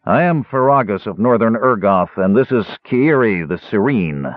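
A man voicing a large, calm male gladiator who speaks in an easygoing, plain way. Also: fairly low pitch, moderate pace, no room sound, lightly acted. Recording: tinny phone-like dry audio.

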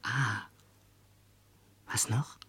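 An actor playing a tender, brooding confidant at night, speaking softly and pensively in a radio play. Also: male voice. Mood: hushed, tender. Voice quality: soft, half-whispered. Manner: hushed, slow, pensive. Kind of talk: German radio play acting.

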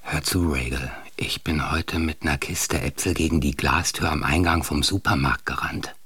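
An actor playing a devoted but uneasy, self-doubting husband in a radio drama. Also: male voice, very deep voice, moderate pace, a bit bright, husky, no room sound, full character voice. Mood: uneasy, confiding. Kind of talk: German radio drama acting.

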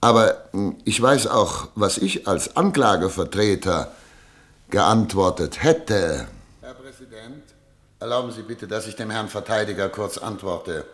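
A self-assured, eloquent judge in a radio drama. His voice is on the low side, and he speaks deliberately, like a practised courtroom orator with a pompous streak. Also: fairly bright tone. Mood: oratorical, sarcastic. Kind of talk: German radio play acting.

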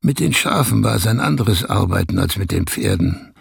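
An actor playing a sober, burdened shepherd narrating in a creaky, husky voice in a radio play. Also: male voice, on the low side, lively intonation, moderate pace, dry recording, clean studio sound, full character voice. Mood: sober, reflective. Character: sober, burdened male shepherd recalling hard years.

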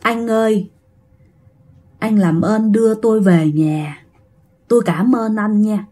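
A woman's voice: medium pitch, slow pace, dark tone. A woman voicing the frightened ghost of an old man with a Northern Vietnamese accent, pleading politely and repeating himself.

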